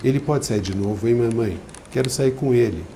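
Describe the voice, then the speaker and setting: A man reading aloud and voicing a caring older sister who talks gently and coaxingly. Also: mid-range voice, brisk, slight background noise, close to an everyday voice. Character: young woman, a warm caring older sister.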